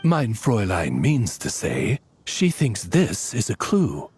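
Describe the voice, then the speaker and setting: A man playing a formal, eloquent manservant in a game, speaking politely and with measured courtesy. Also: lowish voice, huge pitch swings, a little mellow, soft background music, heavily acted. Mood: reassuring. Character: courteous, formal male attendant to a grandiose young lady. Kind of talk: animated game voice acting.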